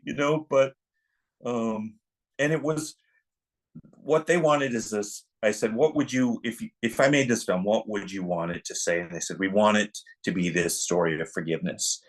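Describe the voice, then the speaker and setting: A man speaking as a film director being interviewed, reflective and halting, often restarting his thoughts as he goes. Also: medium pitch, animated, gravelly.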